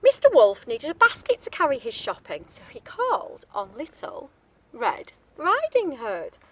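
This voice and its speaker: A woman's voice: high voice, huge pitch swings, a little slow. A woman reading a picture book aloud to young children, expressively, with chatty asides and questions to her listeners. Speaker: storybook reader, teacher-like.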